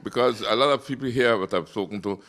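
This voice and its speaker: Interviewer man, lower voice, friendly and meandering. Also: fast, fairly bright tone. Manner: friendly, wandering questions with restarts. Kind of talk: interview questions.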